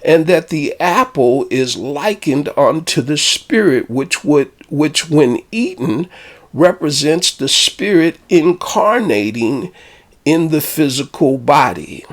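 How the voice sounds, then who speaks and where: A man, a spiritual teacher and author, reflecting on his own book in a measured, deliberate way with hesitant fillers. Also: a little high, lively intonation.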